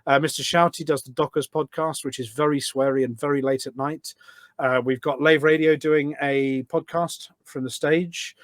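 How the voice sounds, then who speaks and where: British guy on a podcast, fairly high voice, dry close sound, chatty and self-deprecating. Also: steady tone, normal speed, no room sound. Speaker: podcast guest, community event organiser. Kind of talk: casual chat. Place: office.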